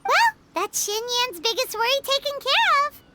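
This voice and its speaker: Squeaky little fairy Paimon. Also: female voice, big pitch swings, normal speed, sharp tone, exaggerated voice. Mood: chatty. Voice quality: high childlike squeaky voice. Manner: talks about herself in third person. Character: tiny floating female fairy companion, chatty and excitable. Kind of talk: video game cutscene dialogue.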